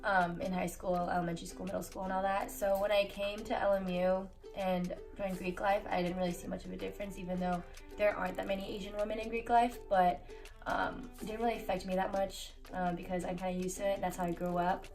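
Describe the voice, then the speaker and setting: A woman's voice: medium pitch, little pitch movement, fast talker, a little mellow. A twenty-one-year-old woman explaining things plainly in a casual interview. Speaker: college student, sorority member. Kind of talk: casual personal interview answers. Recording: distant mic, music underneath.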